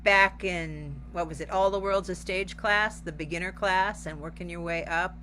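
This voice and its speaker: Bright, emphatic woman over noticeable hum. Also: lowish voice, steady tone, moderate pace. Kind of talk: casual praise on a video call. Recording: noticeable hum on a video call.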